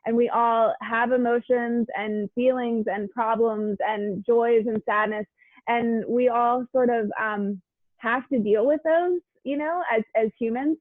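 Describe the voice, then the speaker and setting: A woman hosting an interview over a video call, enthusiastic and quick to laugh. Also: on the high side, steady tone, no room sound. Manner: warm, giggly, enthusiastic. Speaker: interview host. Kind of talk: conversational interview. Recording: dry tinny call audio.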